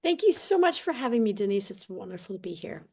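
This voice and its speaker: A woman speaking as a podcast guest and coach, telling things in a casual, animated, colloquial way. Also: mid-range voice, quick pace. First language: English. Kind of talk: casual interview talk, personal storytelling.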